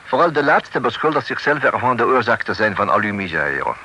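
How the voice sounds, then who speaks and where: A man's voice: medium pitch, sing-song, a little fast, pushed and bright. An actor with a French accent playing a worldly, wry male official in a radio play, dry and knowing, chuckling and dropping French words into his speech. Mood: confiding. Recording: old radio recording with faint hiss.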